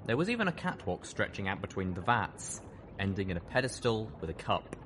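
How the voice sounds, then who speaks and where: A man's voice: lowish voice, sing-song, brisk, a bit bright, slight background noise. A man reading scripted first-person fiction as an audiobook narrator.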